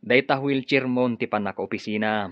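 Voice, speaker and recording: An actor playing a sly, mocking, scheming cousin in a radio drama. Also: male voice, mid-range voice, moderate pace, no echo, close to an everyday voice. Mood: mocking, pushy. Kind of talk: Ilocano radio drama villain acting. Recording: dull, lo-fi radio drama audio.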